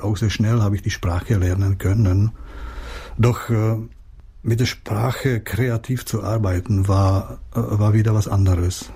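Middle-aged Czech-born man, Czech accent, dark mellow voice, halting interview answers. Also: on the low side, steady tone, breathy edge. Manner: hesitant, many fillers and restarts. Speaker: writer, interviewee.

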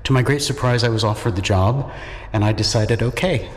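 A middle-aged man with a fairly low voice answers interview questions thoughtfully. He is articulate and reflective, with a modest academic manner. The sound has the long reverb of a hall. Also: fairly quick. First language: English. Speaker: university linguistics lecturer.

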